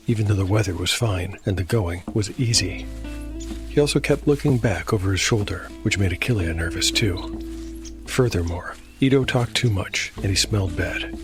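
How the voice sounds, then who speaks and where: A man hosting and narrating a solo tabletop game as a dark fantasy audio drama, measured and grave, with dice-roll commentary. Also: on the low side. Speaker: host and solo game master. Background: music.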